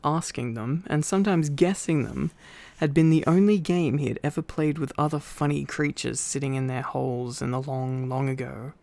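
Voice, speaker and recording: A man reading a bedtime story in a sleepy, informal ASMR style, with relaxed rambling asides. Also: mid-range voice, vocal fry.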